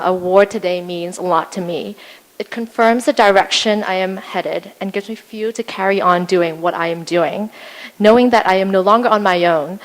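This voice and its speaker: A woman's voice: medium pitch, low-quality recording. Earnest young woman giving a speech. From Hong Kong. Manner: earnest, measured, slightly formal with small fillers. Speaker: award recipient. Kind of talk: prepared acceptance speech. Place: event hall.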